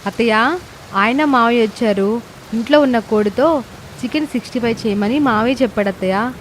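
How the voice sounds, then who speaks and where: A woman's voice: on the high side, a little fast, a little hiss or hum, lightly acted, rough recording. A woman playing a dutiful, easily hurt young daughter-in-law in a cartoon moral story, plaintive and respectful. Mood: eager.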